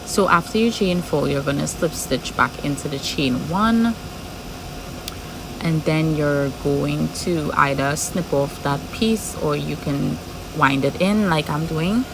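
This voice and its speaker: A woman with a Trinidadian accent and a low, deep voice guides a crochet project one stitch at a time, repeating each step as she works. Steady background noise, like an air conditioner, hums under her voice throughout. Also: takes it slowly. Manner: patient, repeats steps while working. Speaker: crochet tutorial host. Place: home room with background noise.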